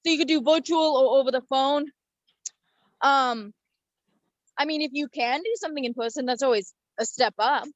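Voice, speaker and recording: A slightly lo-fi, very dry recording of a woman with a fairly high voice. She speaks casually as part of a group discussion. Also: normal speed, long pauses, dry recording. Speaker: participant in a group meeting. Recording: slightly dull, lo-fi microphone sound.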